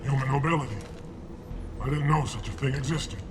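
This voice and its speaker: An actor playing a suspicious, menacing alien thug in a video game, with hard, curt delivery. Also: male voice, medium pitch, a little fast, background noise, natural voice. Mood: grudging.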